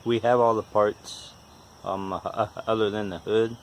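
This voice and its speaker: A man in his forties with a stutter talks casually and haltingly in a folksy, self-deprecating vlog. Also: on the low side, steady tone, slight background noise. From West Virginia, United States. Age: 40s. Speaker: vlogger, amateur car mechanic. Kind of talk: casual unscripted vlog talk.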